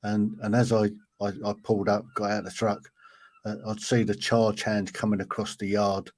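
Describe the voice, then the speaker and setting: A man hosts the conversation in a low, mellow voice. He tells chatty anecdotes and fills his pauses with hesitant sounds. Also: flat delivery. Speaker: podcast host. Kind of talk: casual interview chat.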